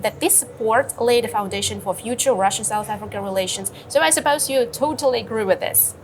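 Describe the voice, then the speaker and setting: A woman interviewing a guest, asking formal questions in a polite, steady way. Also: on the high side, brisk, slight background noise.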